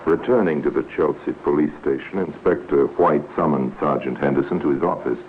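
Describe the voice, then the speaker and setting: A man narrating a 1950s radio crime drama in a measured, solemn, dramatic way, as a grave storyteller. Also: a bass voice. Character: grave male storyteller of a police crime tale. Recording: tinny, noisy 1950s radio recording.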